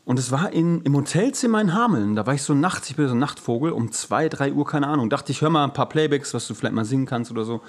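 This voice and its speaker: A man's voice: mid-range voice, animated, fast talker, few pauses. A man co-hosting a podcast, chatting casually in a loose, slangy way with trailing asides. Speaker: podcast co-host. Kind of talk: casual chat.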